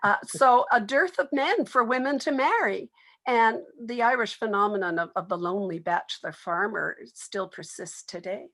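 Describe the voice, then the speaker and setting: A woman's voice: medium pitch, normal speed, a bit bright. Woman explaining literature in an interview. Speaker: literary expert being interviewed.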